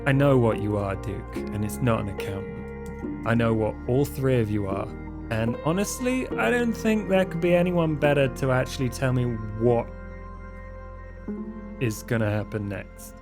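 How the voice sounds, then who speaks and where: A man's voice: medium pitch, expressive, moderate pace, over music, lightly acted. A man playing a casual young fledgling vampire, slangy and offhand, with hesitant phrasing. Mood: sincere, uneasy.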